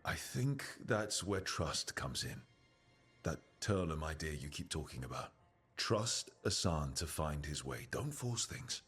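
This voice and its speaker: Very raspy, easygoing adventurer. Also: male voice, very deep voice, very animated, unhurried, a bit bright, exaggerated voice. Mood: reassuring. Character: easygoing, encouraging male adventurer, trusted comrade. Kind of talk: video game cutscene acting. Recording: music behind.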